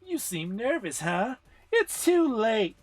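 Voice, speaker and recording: A man voicing a brash, hot-tempered young woman fighter from a game story, with shouted, taunting, overdramatic delivery. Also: high-pitched, very animated, slow, no room sound, soft background music, pitched above the performer's own voice, heavily acted. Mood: cocky, taunting.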